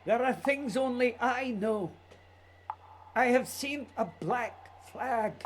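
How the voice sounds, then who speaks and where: An actor as a slow-speaking, wistful dreaming writer. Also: male voice, extremely high pitch, slight background noise. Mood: fearful, earnest. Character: wistful, anxious male writer dreaming himself onto polar ice. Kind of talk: lyrical radio play acting.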